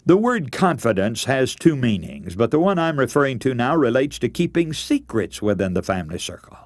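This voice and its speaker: A man's voice: mid-range voice, big pitch swings, moderate pace, no room sound. An American man preaching on the radio, speaking directly to listeners in an earnest, emphatic, admonishing manner. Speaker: radio preacher and broadcast host.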